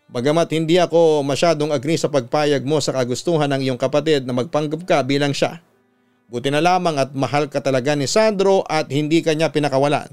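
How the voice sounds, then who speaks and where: A Filipino man hosting a radio story program, reading scripted listener letters and giving advice in an earnest, measured way. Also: a little high, a little mellow, clean tone. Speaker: radio host and story narrator. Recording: music under the voice. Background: background music.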